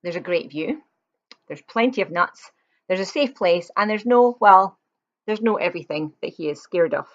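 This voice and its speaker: Low-voiced woman reading a picture book, muffled recording. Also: normal speed. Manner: expressive, explanatory, addressing young listeners. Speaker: storyteller reading aloud. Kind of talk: read-aloud of a children's picture book with chatty asides.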